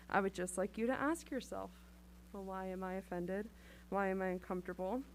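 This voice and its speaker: Woman, mid pitch, earnest, deliberate, council speech, faint hum. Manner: earnest, deliberate, direct with the audience. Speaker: council member.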